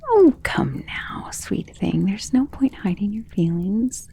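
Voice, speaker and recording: A woman playing a centuries-old, tender and protective vampire in an intimate ASMR romance roleplay, speaking softly and earnestly, often in a whisper. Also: mid-range voice, very animated, takes it slowly, heavily acted. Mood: tender, gentle. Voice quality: soft, breathy, often dropping to a whisper.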